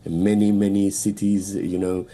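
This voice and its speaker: A man from Iran speaking English with a Persian accent, a political analyst giving a halting live news interview full of fillers and restarts. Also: low-pitched, little pitch movement, warm tone.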